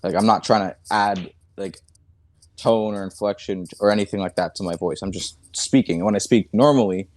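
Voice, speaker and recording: Hesitant, halting man with a deep voice. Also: darkish tone, dry recording. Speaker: content creator answering accusations.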